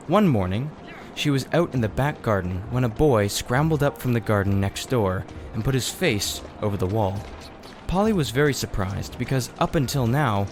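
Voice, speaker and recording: A man reading a children's story aloud in expressive narration. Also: fairly low pitch. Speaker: audiobook reader. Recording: faint background noise.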